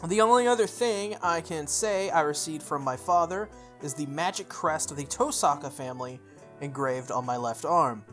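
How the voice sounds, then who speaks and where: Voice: medium pitch, normal speed, a bit bright. Casual game streamer reading aloud over music. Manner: informal, slips into offhand asides. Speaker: video game streamer doing a playthrough. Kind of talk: reading visual novel text aloud with casual side comments.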